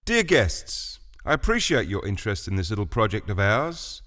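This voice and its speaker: A man's voice: fairly low pitch, big pitch swings, moderate pace, a bit bright. An actor playing a stern alien president in a radio play, speaking with oratorical authority as he passes judgement. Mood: stern, imposing. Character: commanding alien male president, stern creator passing judgement.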